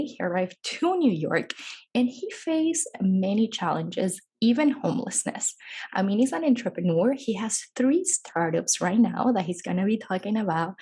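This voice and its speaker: A woman presents a podcast in a chatty, conversational style. Her voice sits at a medium pitch with a husky edge. She speaks with effusive enthusiasm as host. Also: a little mellow.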